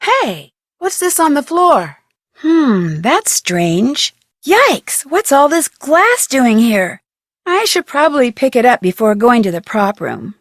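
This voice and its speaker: A young American woman playing an inquisitive, plucky amateur detective in an adventure game, speaking earnestly and expressively with clear enunciation. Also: sits high, sing-song, takes it slowly, no echo, studio quality, exaggerated voice. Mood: excited, startled. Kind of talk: scripted adventure game voice acting.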